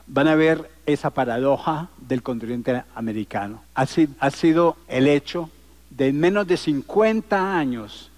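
A man speaks as a conference lecturer. His voice sits at a medium pitch. He addresses his listeners rhetorically, stressing points through repeated phrases and lists. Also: a little mellow. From Colombia. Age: 60s. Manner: emphatic, rhetorical, with repetitions and listing. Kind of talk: public lecture to a live audience.

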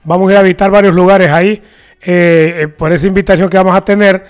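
Honduran male sports co-host, high smooth voice, playful banter. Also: little pitch movement, fast. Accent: Honduran Spanish. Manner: joking, playful, reads sponsor plugs. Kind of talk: casual sports talk banter.

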